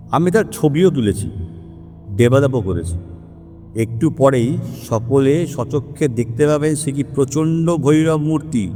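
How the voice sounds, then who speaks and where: A man playing a learned detective, deliberate and theatrical as he expounds in an audio drama. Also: mid-range voice, mellow, lightly acted. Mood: grave, assured. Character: learned male detective solemnly expounding an occult mystery. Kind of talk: Bengali audio story dramatic acting.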